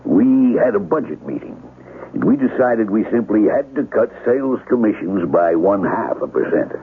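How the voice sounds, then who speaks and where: An actor playing a stingy, overbearing boss in a radio drama, blustery and patronizing as he smooth-talks his way along. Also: lowish voice, big pitch swings, moderate pace. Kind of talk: 1970s radio drama acting. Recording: noisy, tinny old radio broadcast.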